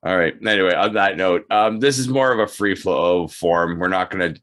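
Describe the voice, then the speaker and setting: A man with a low-pitched voice hosts a casual podcast chat. He talks in a jokey, informal way and often repeats a phrase for emphasis. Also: moderate pace, a bit bright. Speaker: podcast host.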